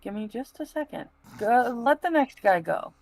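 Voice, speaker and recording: A woman speaking casually as a live stream panel member, halting and plain-spoken. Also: a little high, animated, darkish tone.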